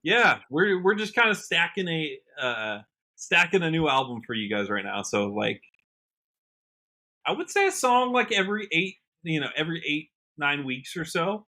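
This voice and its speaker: A man's voice: fairly high pitch, very animated, moderate pace, bright, forceful tone. A man with an American accent, chatting casually as a podcast guest. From Washington state, United States. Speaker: podcast guest, country singer. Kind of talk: casual interview chat.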